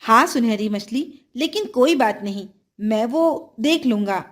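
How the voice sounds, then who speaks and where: A woman's voice: fairly high pitch, lively intonation, a little slow, voice pushed down. A woman voicing a kind, obedient young boy in a children's story, in a polite, sincere and mild manner.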